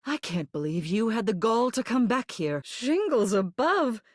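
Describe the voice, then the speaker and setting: A woman's voice: a little high, big pitch swings, a little slow, no room sound, studio quality. A woman playing a haughty, formal mayor who is secretly tender, in scripted video game dialogue. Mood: indignant, exasperated. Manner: prim, formal, officious, clipped commands.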